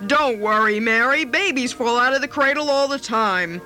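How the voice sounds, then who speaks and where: A woman voicing a boastful, imperious young girl, loud and sneering, in a told story. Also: on the high side, moderate pace, faint music behind. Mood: breezy, loud. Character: bossy, boastful young girl playing Joseph in pageant.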